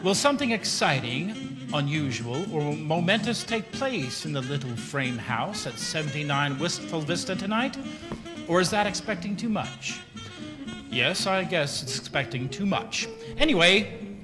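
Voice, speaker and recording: An actor playing a grandly dramatic old-time radio announcer, theatrical and mock-solemn. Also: male voice, a little high, sing-song, a little slow. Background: loud music.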